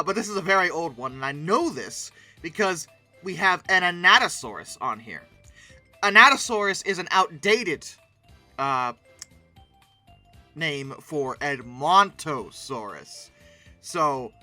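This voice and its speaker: Online host for casual picture commentary; very forceful, bright delivery, highly animated and theatrical. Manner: theatrical, with mock-dramatic emphasis. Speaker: host of a paleoart commentary channel.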